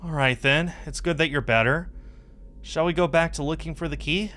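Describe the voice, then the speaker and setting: A man's voice: a little high, moderate pace, sharp tone, a little hiss or hum, pitched above the performer's own voice. A man voicing an earnest, polite young male furry adventurer in a visual novel, full of eager admiration. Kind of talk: visual novel character read-aloud.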